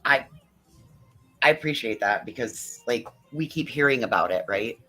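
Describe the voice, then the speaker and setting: A woman's voice: a bass voice, lively intonation, normal speed, sharp tone, husky, faint music behind, rough recording. A woman speaking as a guest in a casual livestream chat.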